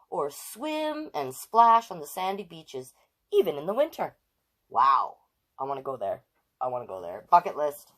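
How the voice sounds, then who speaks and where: A woman hosting a children's storytime, chatting casually with viewers and reading aloud in an exclaiming, direct way. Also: low voice. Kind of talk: casual livestream chat and read-aloud to children.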